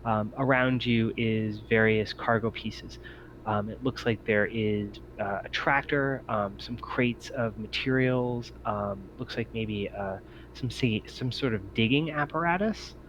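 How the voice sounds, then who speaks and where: A man improvising descriptive narration as game master of a tabletop game, in a halting way. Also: lowish voice, fairly bright tone. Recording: dull audio with faint noise.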